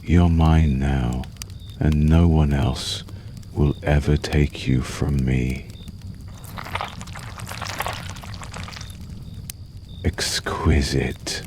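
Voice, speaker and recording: Guy doing a possessive vampire boyfriend for asmr, super deep and unhurried, tender but menacing. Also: flat delivery, noisy background. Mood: possessive, coaxing. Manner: slow, soothing, menacingly tender, lingering pauses. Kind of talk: ASMR roleplay, intimate storytelling.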